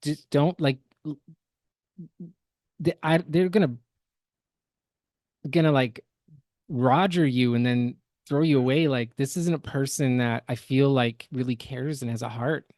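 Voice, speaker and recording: A man's voice: mid-range voice, unhurried, long pauses, a little mellow, no room sound, studio quality. A man hosting a podcast with casual, critical and opinionated reaction commentary. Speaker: podcast host.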